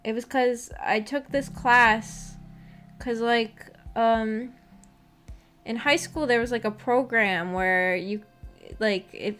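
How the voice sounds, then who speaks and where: A woman's voice: a little high, fairly even intonation, takes it slowly, a bit bright. A woman chatting casually as a livestream host, rambling in a giggly, self-deprecating way. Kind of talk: casual chat. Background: music.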